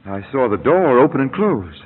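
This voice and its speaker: A man's voice: medium pitch, sing-song, normal speed, husky. A man playing an earnest university professor in an old radio drama, speaking in formal, wordy sentences. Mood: amazed. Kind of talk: 1940s American radio acting. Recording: tinny old radio recording.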